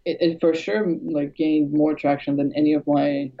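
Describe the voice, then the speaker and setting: A man from Albania chats about his music-production work in a gravelly, somewhat higher voice. He talks hesitantly, with many fillers and restarts. The recording sounds dull and lo-fi. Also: little pitch movement, moderate pace. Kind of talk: casual conversation.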